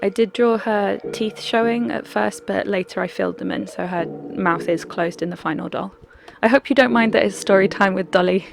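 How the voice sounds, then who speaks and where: A young adult doll artist talking through their craft in a relaxed, chatty way, with plenty of ums and restarts. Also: female voice, mid-range voice, moderate pace, vocal fry. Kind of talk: casual vlog talk and craft voice-over. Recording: music bed under the voice.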